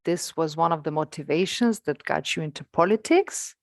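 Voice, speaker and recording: Low-voiced woman interviewer. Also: normal speed, creaky. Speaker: interviewer, host. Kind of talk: conversational interview.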